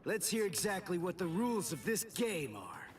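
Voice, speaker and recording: Brash, animated teenage anime hero. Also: male voice, high-pitched, normal speed, far from the mic, faint music behind. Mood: defiant. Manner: blunt, sarcastic. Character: brash, hot-tempered teenage substitute soul reaper. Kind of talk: English anime game dub acting.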